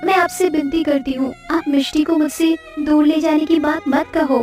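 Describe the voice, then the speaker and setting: A woman's voice: high voice, steady tone, normal speed, darkish tone, close to an everyday voice. A woman voicing a possessive, fearful village mother, a fisherman's wife in a cartoon story. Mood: pleading, sorrowful. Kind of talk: Hindi cartoon story dialogue. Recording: distant sound with faint music.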